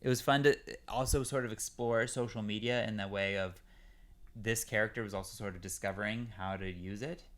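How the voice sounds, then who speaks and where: A young man from California chats in a relaxed, meandering way, full of "um" and "like". His voice is smooth and clear, sitting a little low. He is a guest actor on an interview podcast. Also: fairly quick. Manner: relaxed, rambling with many fillers. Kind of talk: casual interview chat.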